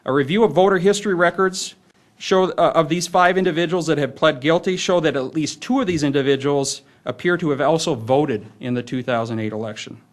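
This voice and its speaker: A man with a slightly high voice hosts a press conference. He delivers a prepared statement formally, with a halting flow as he works through it. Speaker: press conference host and spokesman.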